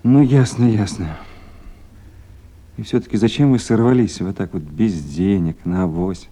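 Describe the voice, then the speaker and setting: A man's voice: low voice, unhurried, mellow. An actor playing a patient, dutiful male duty officer in a naturalistic radio drama, attentive in his manner. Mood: quiet, sympathetic.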